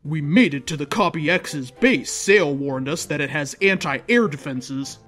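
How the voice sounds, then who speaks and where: A man with a fairly high voice narrates a story in a theatrical, mock-serious style. He performs it as comic dramatic reading, with music playing underneath. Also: unhurried, fairly bright tone. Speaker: host reading fan fiction aloud.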